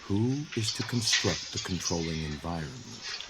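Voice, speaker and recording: A man reading a scripted quotation as an audio log narrator, formal and deliberate. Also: low voice, lively intonation, very noisy.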